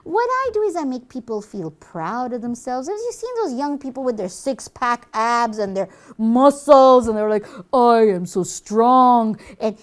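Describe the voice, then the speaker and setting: A woman's voice: fairly high pitch, lively intonation, a little slow, few pauses, pitched below the performer's own voice. A woman voicing a boastful, mischievous devil minion in a children's puppet show, gloating with dramatic exclamations.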